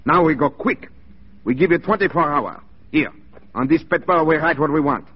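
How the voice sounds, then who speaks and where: Defiant Métis rebel leader, husky, broken English, 1940s radio drama, muffled archive audio. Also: male voice, fairly high pitch, animated, moderate pace. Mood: firm, defiant. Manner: broken English with dropped words.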